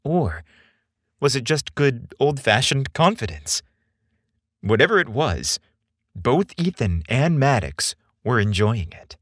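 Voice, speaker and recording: A man narrating a scripted romance story as a podcast host, in an expressive storytelling style. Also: medium pitch, takes it slowly, no echo, clean studio sound.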